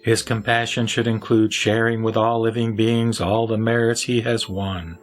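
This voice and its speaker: A man's voice: lowish voice, flat delivery, normal speed, slight background noise, close to an everyday voice. A man voicing a wise lay teacher who answers solemnly and formally in a scripture audio drama. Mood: solemn teaching. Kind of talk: audio drama sutra recitation.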